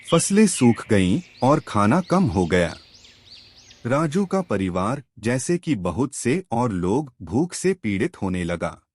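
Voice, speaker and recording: A man's voice: fairly low pitch, a little mellow. A man narrating a scripted moral story for children.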